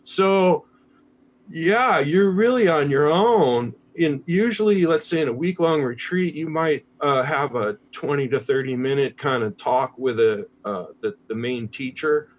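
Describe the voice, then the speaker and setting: A man's voice: on the high side, animated, unhurried, slight background noise. An American man talking casually as a podcast guest, candid and laid-back, swearing freely. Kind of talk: casual conversational interview.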